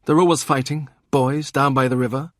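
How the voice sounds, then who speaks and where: A man voicing a sharp-tongued young woman who answers with cutting sarcasm. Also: mid-range voice, fairly even intonation, moderate pace, no room sound, studio quality, voice pushed up, close to an everyday voice. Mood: tart.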